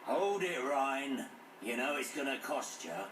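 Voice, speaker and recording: Lively, wry older British mercenary. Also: male voice, medium pitch, a little slow. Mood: wry, teasing. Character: gruff older male mercenary, wry, roguish mentor. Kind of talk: video game cutscene acting. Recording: echoey, distant, noisy game audio.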